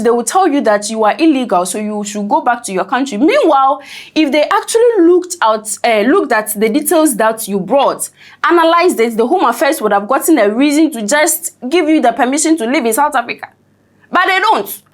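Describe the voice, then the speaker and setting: A woman's voice: high voice, animated, normal speed. A woman commenting on a news report in a conversational way, often checking in with "right?".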